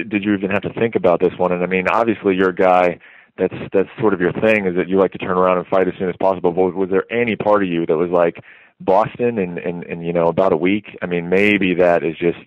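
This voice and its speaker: Fast, low-voiced male reporter by phone. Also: fairly even intonation, no echo. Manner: polite, rambling questions. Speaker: sports reporter. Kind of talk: casual interview questioning. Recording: narrowband phone conference line.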